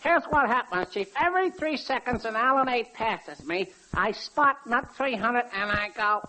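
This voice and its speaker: A man's voice: extremely high pitch, lively intonation, normal speed, pushed and bright, heavily acted. A man playing a meek, eager, simple-minded assembly-line worker in a 1940s radio comedy, hesitant and fawning. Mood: proudly earnest. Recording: noisy, clicky 1940s radio recording.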